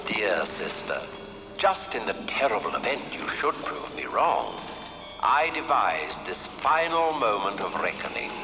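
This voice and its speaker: Theatrical vengeful dead brother in cavernous reverb. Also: male voice, lowish voice, very animated, vocal fry, heavily acted. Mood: coldly accusing. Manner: formal, deliberate, theatrical. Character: vengeful dead brother, gloating, courtly and menacing. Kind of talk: horror film acting. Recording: reverberant film soundtrack, loud music behind.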